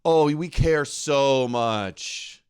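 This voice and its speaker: A man's voice: medium pitch, very slow, smooth. A man hosting a live reaction show, talking back to a clip in a sarcastic, mocking, argumentative way.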